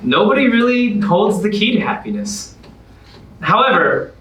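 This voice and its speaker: A man's voice: sits high, a bit bright. A man playing an upbeat, sassy narrator in a staged musical comedy reading, talking and singing. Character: Oliver, upbeat sassy man, contest survivor turned narrator. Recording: distant echoey stage recording with music.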